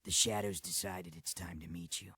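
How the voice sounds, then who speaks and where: A hard-bitten underground fighter for a video game cutscene. The voice is low and rasping, with a very dry sound. He is curt and commanding, with no patience to spare. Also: fairly even intonation, normal speed, bright, forceful tone, no room sound, exaggerated voice. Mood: low, serious. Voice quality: raspy, gravelly. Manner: gruff, terse, commanding.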